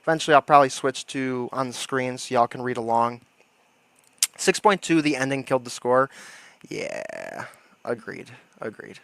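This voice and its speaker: A man's voice: medium pitch, very animated, moderate pace, creaky, a bit bright, no echo. A man hosting a livestream in casual, slangy chat with a joking manner. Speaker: livestream host.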